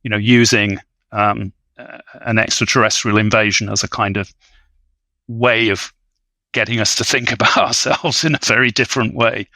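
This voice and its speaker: A man's voice: on the low side, sharp tone, no room sound. A man speaking as an expert podcast guest in an academic discussion, with hesitant, scholarly, wordy phrasing.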